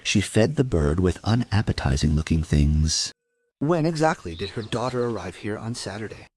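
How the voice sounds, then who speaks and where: A man narrating a crime audio drama as a police investigator, deadpan, terse and world-weary. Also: on the low side, moderate pace, breathy edge. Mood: dry, sober. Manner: deadpan, terse, matter-of-fact. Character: male police investigator narrating his murder case, dry and world-weary.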